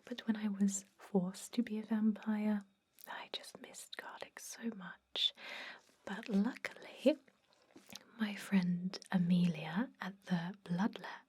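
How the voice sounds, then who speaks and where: A woman playing a chatty but gently stern vampire dentist in a whispered ASMR roleplay, rambling in a confiding way with a breathy voice. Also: mid-range voice, steady tone, slow. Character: chatty long-lived female vampire dentist, garlic-obsessed, gently stern. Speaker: ASMR performer.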